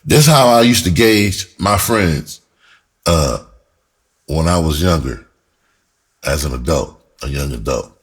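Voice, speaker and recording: Low-pitched American male, middle-aged, raspy husky tone, casual storytelling, blunt, profane, emphatic slang. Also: expressive, unhurried, long pauses. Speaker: screenwriter telling his own story. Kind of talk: casual first-person storytelling to camera.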